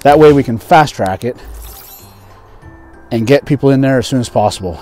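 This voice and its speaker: A man's voice: mid-range voice, normal speed, a little mellow, faint music behind. A man in his thirties hosting an English lesson, acting out conversational skit lines and explaining idioms as a teacher. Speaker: English teacher and video host.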